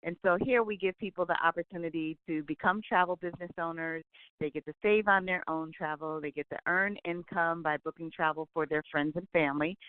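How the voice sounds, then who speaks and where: Upbeat woman, forties, phone interview guest; smooth voice, dry tinny line. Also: on the low side, fairly even intonation, brisk, dry recording. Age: 40s. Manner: upbeat, enthusiastic, says "absolutely" often. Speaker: guest, travel business owner.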